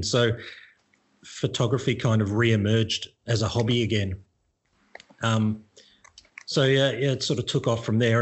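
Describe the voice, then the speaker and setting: A man's voice: mid-range voice, breathy edge. Easygoing Australian man, middle-aged. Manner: relaxed, self-deprecating, rambling with restarts. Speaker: guest. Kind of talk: casual conversation.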